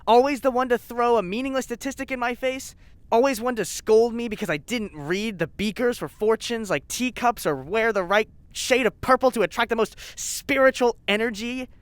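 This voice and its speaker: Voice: mid-range voice, normal speed, clear, resonant tone, dry recording, lightly acted. An actor playing a zealous, spiteful scientist in an audio drama, bitter, sarcastic and insistent. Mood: bitter, fervent. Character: zealous, spiteful lab scientist named Colin. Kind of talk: scripted audio drama acting.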